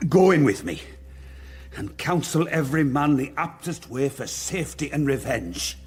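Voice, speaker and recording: An actor playing a grieving, enraged old earl in a Shakespearean radio drama, declaiming verse with passion. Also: male voice, mid-range voice, animated, slightly rough. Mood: grim resolve. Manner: declamatory, impassioned, slow verse delivery. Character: grief-stricken, enraged old earl, a sick rebel father. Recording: music behind the voice.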